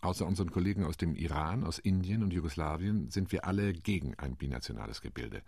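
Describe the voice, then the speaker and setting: Male actor as a middle-aged UN delegate for a radio drama: deep, raspy, steady reporting tone. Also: no room sound, studio quality, exaggerated voice. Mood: measured. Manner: measured, reporting. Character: sober male diplomat recounting committee talks. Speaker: UN commission delegate. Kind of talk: radio play monologue.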